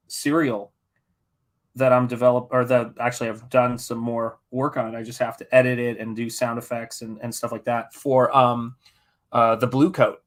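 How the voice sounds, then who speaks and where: A middle-aged man from the United States chats casually while painting on a livestream, rambling in a jokey, self-deprecating way. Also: mid-range voice. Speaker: artist hosting a live painting stream.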